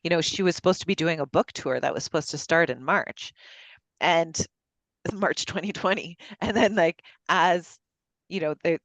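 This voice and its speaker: A woman's voice: on the low side, moderate pace, no echo. A woman hosting a virtual book club over a video call, chatty and full of fillers and restarts. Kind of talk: casual group discussion. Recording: dull lo-fi call audio.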